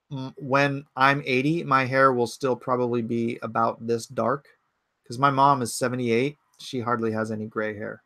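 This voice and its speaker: A man's voice: medium pitch, creaky, pushed and bright, no room sound. Chatty man in his forties, dry mic. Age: 40s. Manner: rambling, thinks aloud with fillers and restarts. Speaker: livestream host. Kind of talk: casual livestream chat reading comments. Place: home computer setup.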